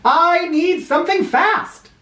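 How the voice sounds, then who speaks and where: A woman's voice: very high-pitched, slow, fairly bright tone, voice pushed up, exaggerated voice. A woman voicing a blustery, indignant, stout man who is a president, for a children's storybook. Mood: exasperated, blustering. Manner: bellowing, indignant, grandiose. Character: blustery, stout, exasperated male president stuck in a bath. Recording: roomy, somewhat far from mic.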